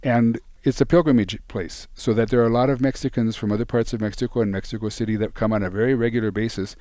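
A man explaining history in an informative, steady way as a guest on a radio interview. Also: medium pitch, quick pace, no echo.

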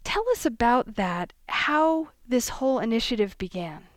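A woman hosting a radio interview, with a measured, announcer-like delivery. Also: a little high, lively intonation, dry recording, clean studio sound. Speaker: radio host.

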